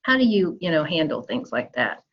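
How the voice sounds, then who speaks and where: A woman's voice: low voice, very animated, normal speed, highs cut off, very noisy. A woman hosting an interview, asking questions hesitantly with many fillers. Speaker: interview host.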